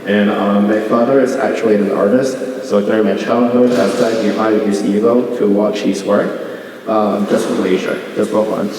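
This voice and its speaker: A man's voice: lowish voice, steady tone, moderate pace, darkish tone, slight background noise. Hesitant man in an echoey hall. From Beijing, China. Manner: hesitant, many fillers and restarts. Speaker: visiting artist. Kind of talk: artist talk with slides. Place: art gallery hall. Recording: echoey gallery hall from far off.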